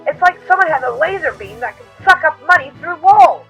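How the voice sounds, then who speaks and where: A woman's voice: sits high, expressive, a little fast, fairly bright tone, lo-fi sound. A woman voicing a dramatic TV news anchor delivering a bulletin in a fan-dubbed cartoon. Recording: faint music behind.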